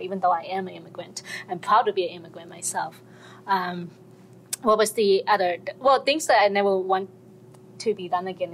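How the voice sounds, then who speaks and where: A woman's voice: lowish voice, slight background noise, rough recording. A woman with a Chinese accent sharing her experience in a group discussion, hesitant with many fillers. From China. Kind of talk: personal testimony.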